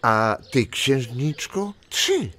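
A man playing a jovial, doting old grandfather in a children's audio drama, with chuckles and drawn-out exclamations. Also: medium pitch, very animated, sharp tone, full character voice. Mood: fond, reminiscing.

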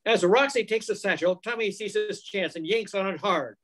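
An older man narrates a radio mystery from a script. His voice is high for a man. The delivery follows old-time radio storytelling, captured on a video call. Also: moderate pace, a bit bright. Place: home room, video call. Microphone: computer mic on a video call.

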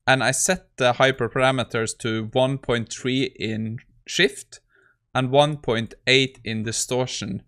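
A man in his thirties talks through code in a casual, conversational way. He sounds like a tech creator at his desk, speaking at a middling pitch into a close microphone. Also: fairly even intonation, unhurried, fairly bright tone. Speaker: tech YouTuber. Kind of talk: casual screen-recorded coding walkthrough.

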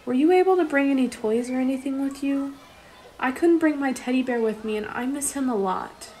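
Woman acting sentimental young girl, radio play, earnest, high pitch. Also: fairly quick, mellow, lightly acted, low-quality recording. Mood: wistful.

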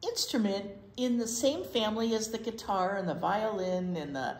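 An American woman in her sixties reads a picture book aloud as a children's storyteller, expressive and explaining things simply. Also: mid-range voice, normal speed. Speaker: children's storytime reader at a library. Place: library children's room, indoors. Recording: roomy, echoey indoor sound.

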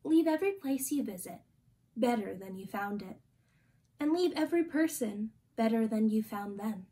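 A woman in her twenties reading a children's picture book aloud with deliberate, gently expressive phrasing. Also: fairly high pitch. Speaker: storytime reader. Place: small indoor room.

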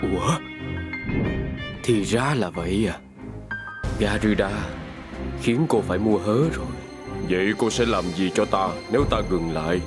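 A commanding, enigmatic young nobleman acted by a man in an animated comic. He speaks curtly and coolly in a low voice, with music playing behind him. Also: a little slow, long pauses, close to an everyday voice. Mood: coolly amused. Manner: curt, composed. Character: cool, commanding mysterious masked young lord. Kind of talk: animated motion comic dub.

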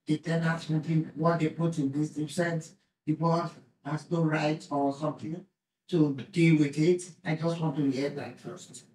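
A woman at a public meeting with a big voice, speaking haltingly as she searches for words. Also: deep voice, a little mellow. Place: community room at a board meeting, seated. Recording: echoey room, far from the mic.

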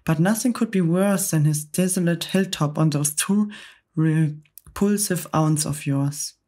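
A woman voicing a kind, gentle female ladybird in a storybook reading, with a soft, motherly manner. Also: deep voice, unhurried. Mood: reassuring.

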